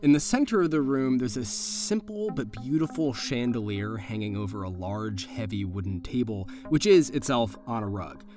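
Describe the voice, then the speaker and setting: A man's voice: medium pitch, expressive, normal speed, hardly any pauses, music in the background. A man running a tabletop role-playing game as game master, narrating in a casual, improvised way.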